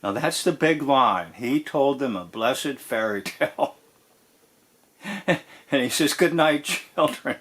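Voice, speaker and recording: A man giving informal commentary on a TV episode as host, in a deliberate, drawn-out way that dwells on key phrases. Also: mid-range voice, expressive, fairly bright tone.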